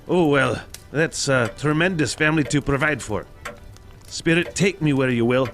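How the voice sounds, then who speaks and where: A man's voice: mid-range voice, moderate pace, a bit bright, soft background music, close to an everyday voice. Elderly miser for radio-style drama, male actor: earnest, chastened, medium register. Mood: humbled, earnest. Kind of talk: audio drama acting.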